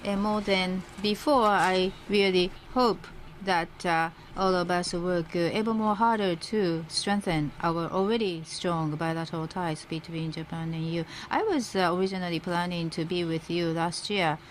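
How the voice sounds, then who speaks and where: A woman working as a simultaneous interpreter, rendering a formal speech live in halting phrases. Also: on the low side, fairly even intonation, rough recording. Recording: interpreter feed with faint background noise.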